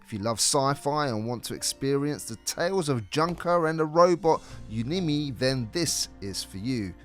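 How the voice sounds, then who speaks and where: A man's voice: mid-range voice, lively intonation, normal speed. A man reading a scripted video game review as a co-host. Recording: music behind the voice. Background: background music.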